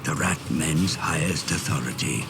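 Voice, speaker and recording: An actor playing a grave, portentous narrator of an epic fantasy game chronicle, speaking in measured, theatrical phrases. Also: male voice, rumbling low, very noisy. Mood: solemn, grave. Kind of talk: solemn dramatic scripted narration of fantasy game cutscenes. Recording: loud orchestral music behind. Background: loud cinematic game music.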